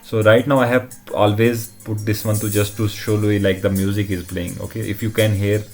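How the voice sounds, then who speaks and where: A man presenting an informal technical demo in a halting way, often checking in with short tag questions. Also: lowish voice, flat delivery, a little mellow, soft background music. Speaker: tutorial presenter.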